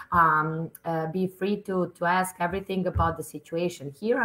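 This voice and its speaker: A woman in her thirties from Serbia presents a webinar over a video call from an office. Her voice sits a little low. She talks in a friendly way, with frequent fillers and restarts. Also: a bit bright, poor audio. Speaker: university recruitment manager. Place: office room indoors.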